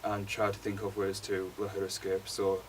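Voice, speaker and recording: A young man, a college student giving an informal class presentation in a flat, hesitant way. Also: sits low, normal speed. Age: young adult. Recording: distant mic, faint room noise.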